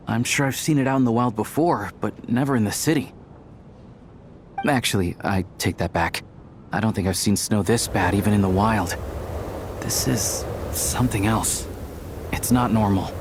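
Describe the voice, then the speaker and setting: A man's voice: on the low side, moderate pace, a little hiss or hum, close to an everyday voice. A man playing an easygoing young stagehand. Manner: easygoing and helpful. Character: friendly, capable young male theater worker. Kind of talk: game cutscene voice acting.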